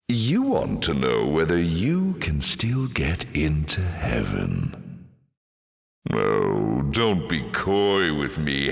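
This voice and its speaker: An actor playing a sardonic fallen demon lord in a video game, with urbane, mocking delivery and dramatic flourishes. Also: male voice, rumbling low, gravelly, full character voice. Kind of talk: theatrical video game character acting. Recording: long cavernous reverb.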